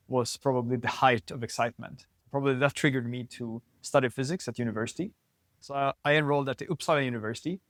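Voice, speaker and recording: A man in his twenties from northern Sweden, a physics PhD student, calmly explaining his research in an interview. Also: medium pitch, no echo, studio quality. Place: lab building at a physics facility.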